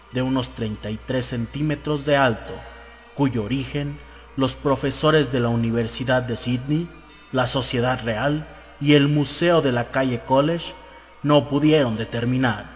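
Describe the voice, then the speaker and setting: A man narrating an audiobook, reading out a newspaper report in a dramatized style. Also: mid-range voice. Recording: long hall reverb, music behind. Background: music.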